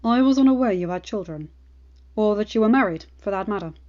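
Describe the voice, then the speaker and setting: A woman voicing a diplomatic young lord in audiobook dialogue, formal, measured and detached. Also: mid-range voice, lively intonation, darkish tone, highs cut off, natural voice. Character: cold, guarded, diplomatic young lord, male.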